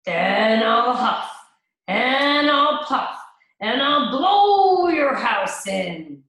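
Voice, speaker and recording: A woman voicing a sly, menacing big bad male wolf who calls out loudly and drags out his growling threats. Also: fairly high pitch, sing-song, heavily acted. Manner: loud drawn-out calling, growling threats. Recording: echoey room.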